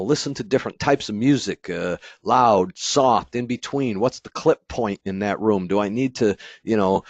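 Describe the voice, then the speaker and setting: A man's voice: on the low side, no echo. A man giving a casual dealer training webinar, talking loosely with many fillers and restarts and sometimes acting out an installer's thoughts. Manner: acts out an installer's inner thoughts. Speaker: company product trainer. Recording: dry, slightly dull webinar audio.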